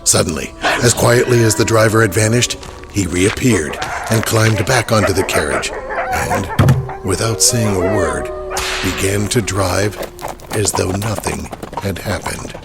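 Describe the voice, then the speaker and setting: A man's voice: on the low side, measured pace, gravelly, over loud music. A man narrating a horror audio drama in a dramatic, scripted storytelling style.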